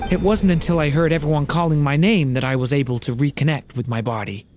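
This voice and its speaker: An actor voicing a gentle, unsure young game character, speaking softly with hesitant stammers. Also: male voice, fairly high pitch, quick pace, noisy background, close to an everyday voice. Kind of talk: video game voice acting.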